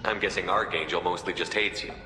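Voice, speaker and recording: Guy as video game soldier hero, deep voice, terse and cool, echoey with music. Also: steady tone, fast, lightly acted. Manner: terse, probing. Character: cool, tough, no-nonsense male soldier hero. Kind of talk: video game character acting. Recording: echoey hall reverb, music behind.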